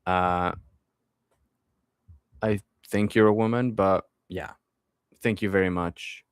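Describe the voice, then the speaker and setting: Deep-voiced young man chatting on stream. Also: slow, clear, resonant tone, dry recording, studio quality. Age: 20s. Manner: reads viewer comments aloud and answers them, drifting back to his topic; relaxed, friendly, often trails off with fillers. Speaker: live streamer. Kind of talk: casual live-stream chat.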